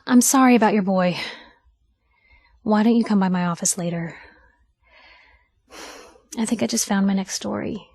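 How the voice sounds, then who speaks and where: A young woman reporter roaming a post-apocalyptic game world. Her voice sits on the high side, and she talks in a wry, conversational way, plucky and quick with a sardonic quip. The recording is close and dry, with no room around it. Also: dry recording. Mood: sympathetic. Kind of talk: video game companion voice acting.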